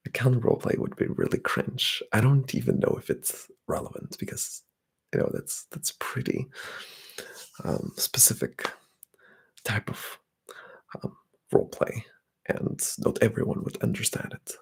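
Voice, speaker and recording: A young man, chatting softly and often whispering on an ASMR livestream while reading out comments. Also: medium pitch, sing-song, unhurried, vocal fry, pauses often, breathy edge. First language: Bulgarian. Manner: soft-spoken, drifting into whispers. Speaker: ASMR streamer.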